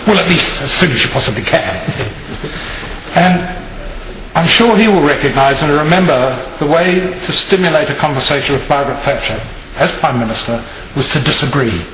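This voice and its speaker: A man's voice: on the high side, lively intonation, normal speed, a bit bright. Nz guy telling dry funny stories in parliament, roomy hall, lots of noise. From New Zealand. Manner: wry, storytelling, dry humour. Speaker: member of parliament. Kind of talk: anecdotal speech in a debating chamber. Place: large parliamentary chamber. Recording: echoey noisy chamber recording.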